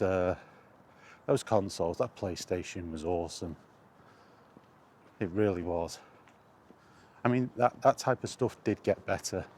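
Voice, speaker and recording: A man's voice: deep voice, a little mellow. A middle-aged man giving a casual, rambling, reflective monologue as a gaming vlogger, often repeating phrases for emphasis. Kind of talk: casual rambling monologue about video games. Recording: clear background ambient noise.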